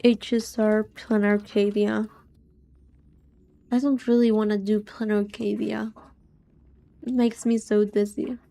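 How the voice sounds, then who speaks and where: A young British woman with a soft voice, chatting casually on a livestream with languid, drawling complaints. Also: mid-range voice, level tone, takes it slowly, creaky, long pauses. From Britain. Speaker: streamer.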